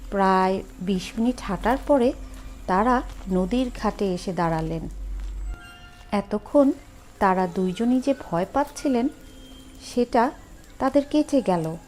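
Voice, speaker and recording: Slow-paced woman telling horror stories. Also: on the high side, darkish tone, slight background noise. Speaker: horror story narrator. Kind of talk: dramatic scripted storytelling.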